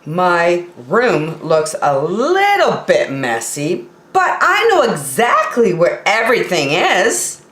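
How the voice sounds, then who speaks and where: A woman reading a children's story aloud in an expressive, playful way, with small asides to her listeners. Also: on the low side, slow pace, few pauses. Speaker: storytime reader. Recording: roomy, echoey sound.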